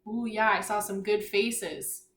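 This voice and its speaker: A woman teacher reading aloud to young children, pausing to explain simply, ask questions and encourage her listeners. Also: medium pitch, fairly even intonation, fairly bright tone. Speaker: elementary school teacher. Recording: echoey room, faint background noise.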